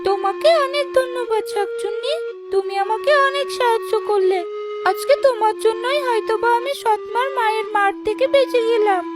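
A woman's voice: very high voice, moderate pace, over loud music. A woman voicing a meek, plaintive, mistreated young girl in a children's cartoon. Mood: grateful. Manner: plaintive, earnest.

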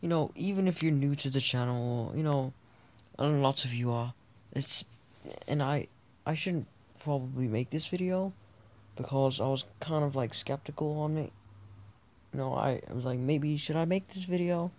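Young male gamer host, Italian first language, rambly and self-deprecating, handheld mic, mid pitch. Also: moderate pace, darkish tone, a little hiss or hum. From born in Spain, raised in Italy, France, Britain and Germany. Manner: rambling, joking, self-deprecating, many restarts. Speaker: gaming channel host. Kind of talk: casual thank-you vlog over gameplay. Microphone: handheld mic over screen capture.

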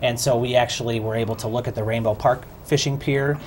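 A man in his thirties speaks as a councilman guest on a studio talk show, in a mid-range voice. He explains things in a measured way with some fillers, and a faint background hum sits under the lapel mic. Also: medium pitch, steady tone. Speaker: city councilman. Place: small TV studio.

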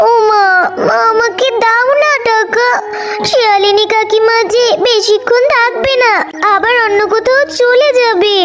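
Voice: extremely high pitch, steady tone, measured pace. A performer voicing an eager, wheedling little girl animal in a children's cartoon story, in a childlike singsong. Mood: pleading. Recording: loud music behind the voice. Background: loud music.

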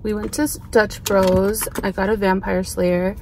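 A young woman in her twenties talks in an easy, chatty way, her sentences trailing off as she sits in a car. She is filmed by a dashboard camera, and steady road and engine noise sits clearly behind her voice. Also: medium pitch, unhurried. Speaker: vlogger. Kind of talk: casual vlog chat. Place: inside a parked car.

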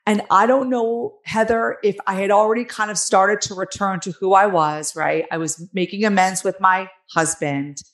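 A woman in her forties with a raspy, nasal New Jersey accent, telling her own story candidly and emphatically as an interview guest on a video call. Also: mid-range voice, fairly even intonation, moderate pace, few pauses. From New Jersey, United States. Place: small room at home, on a video call. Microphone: headset on a video call.

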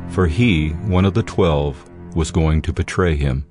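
Male scripture narrator: deep register, solemn and measured, light music underneath. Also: darkish tone.

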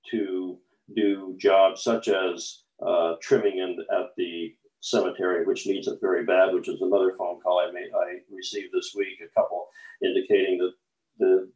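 A man's voice: deep voice, darkish tone. A man on a council video call, asking questions with many fillers and restarts. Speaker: city councillor. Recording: echoey distant lo-fi video call.

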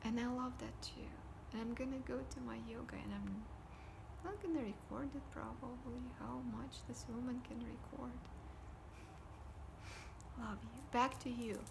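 Home vlogger, forties, soft breathy delivery, phone-camera sound with steady hiss. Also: female voice, a little high, unhurried. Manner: soft, often whispery delivery. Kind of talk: casual personal talk to camera. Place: living room at home, indoors. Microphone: device camera at desk distance.

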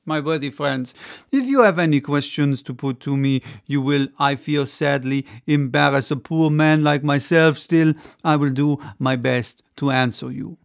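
A man's voice: fairly high pitch, gravelly. A man voicing a bold, grandiloquent orator addressing a crowd in an emphatic, declamatory manner. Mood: courteous.

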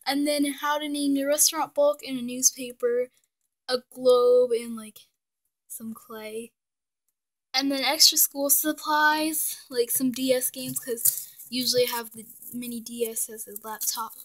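A woman's voice: sits high, steady tone, unhurried, poor audio. A woman casually showing her doll house at home, chatty with lots of fillers, sometimes sinking to a whisper, recorded on a handheld phone. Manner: chatty, many "like" fillers, drops to soft whispers at times. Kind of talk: casual show-and-tell narration. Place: indoors at home, near the dollhouse.

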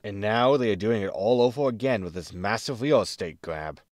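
Guy as a gruff rogue space captain, sci fi radio comedy, lowish voice, curt and wry, real dry audio. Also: normal speed, no echo, natural voice. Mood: impatient. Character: gruff, roguish male spaceship captain, easily irked.

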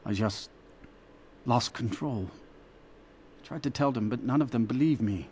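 A man's voice: medium pitch, measured pace, mellow, slight background noise, close to an everyday voice. An actor playing a grave, regretful imprisoned man cursed as a werewolf in a video game. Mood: bitter remorse. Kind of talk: scripted video game dialogue.

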